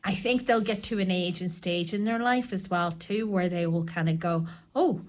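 A woman's voice: on the low side, moderate pace. A woman with an Irish accent hosting a community radio show, friendly and chatty. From Ireland. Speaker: radio host. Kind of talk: casual radio chat. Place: radio studio.

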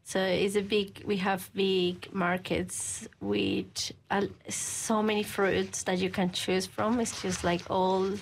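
A woman's voice: mid-range voice, little pitch movement, vocal fry, a little hiss or hum, low-quality recording. Earnest woman. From Colombia. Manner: earnest, halting English with frequent restarts. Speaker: guest, church women's ministry leader. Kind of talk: interview answers.

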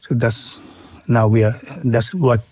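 A middle-aged man with a Bhutanese accent, speaking in an interview with many pauses, fillers and restarts. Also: mid-range voice, steady tone, a little mellow, husky, dry recording. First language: Nepali. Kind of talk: casual interview.

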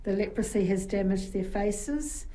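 A woman's voice: mid-range voice, unhurried, warm tone. A woman with a New Zealand accent, a guest in an interview, telling chatty anecdotes with frequent fillers. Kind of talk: casual interview storytelling.

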